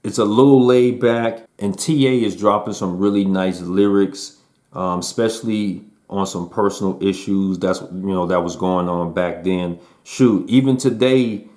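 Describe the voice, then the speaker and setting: Casual male host for a music review, low-pitched, relaxed slangy delivery with fillers. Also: a little slow. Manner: laid-back slangy talk, lots of "man" and "um". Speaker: album reviewer.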